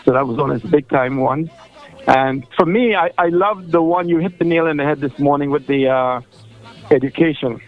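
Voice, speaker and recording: Trinidadian man, radio caller; rambling and chatty, higher voice, muffled phone line with faint music under it. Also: normal speed. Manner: rambling, chatty, many fillers and restarts. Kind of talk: casual phone-in chat.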